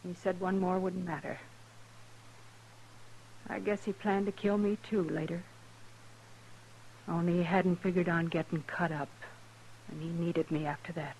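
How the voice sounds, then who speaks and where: A woman's voice: fairly low pitch, steady tone, unhurried, pauses often, a little mellow, low-quality recording. An American woman acting a proud, wounded, hard-bitten frontier widow in a 1950s radio western, blunt and plain-spoken. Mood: guarded, bitter. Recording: faintly hissy 1950s radio recording.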